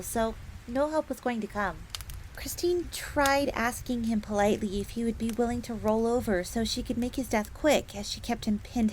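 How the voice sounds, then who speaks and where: Woman voicing a high-voiced, polite fox maid. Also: natural voice. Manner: refers to herself in third person, genteel. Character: polite, eager fox maid assassin telling her tale. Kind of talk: in-character storytelling, audio roleplay. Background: crackling fire ambience.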